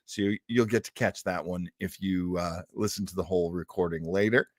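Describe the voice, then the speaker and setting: A man's voice: deep voice, steady tone, a little fast, no echo. Older man, rambling host, dry close sound. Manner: rambling, enthusiastic. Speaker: host of an old time radio show. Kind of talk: casual live-stream chat with listeners.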